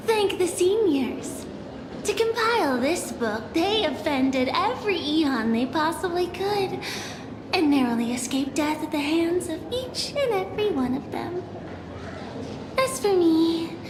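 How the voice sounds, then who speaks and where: A woman playing a wry, bookish keeper of reference books in a game, speaking in a measured way. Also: extremely high pitch, noisy background, heavily acted. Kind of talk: game character dialogue. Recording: echoey, music behind.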